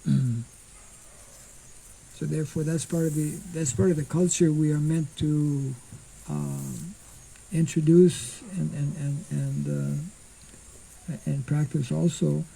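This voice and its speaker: An older monk giving an informal scripture class outdoors into a stand mic, speaking slowly and haltingly and clearing his throat often. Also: fairly high pitch, level tone, dark tone, rough recording. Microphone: stand mic in front.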